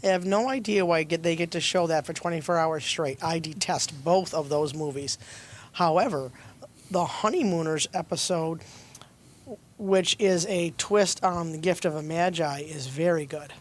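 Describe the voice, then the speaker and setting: A woman's voice: deep voice, normal speed. A woman with an opinionated, plain-spoken way of talking, reading conversationally in an audio play.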